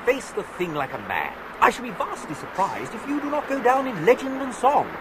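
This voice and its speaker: Radio comedy lead: urbane, pompous young English toff, loquacious, unruffled, very lively delivery. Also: male voice, very high-pitched, brisk, very noisy. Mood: unruffled, mocking. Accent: upper-class English. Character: suave, unflappable, loquacious young English gentleman. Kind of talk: 1980s BBC radio comedy drama.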